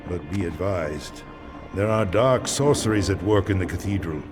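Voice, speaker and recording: An actor playing an ancient, weary vampire swordsmith in a video game, solemn and portentous in delivery. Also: male voice, low-pitched, normal speed, lightly acted. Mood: urgent, foreboding. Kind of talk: dramatic video game cutscene acting. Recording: music behind the voice.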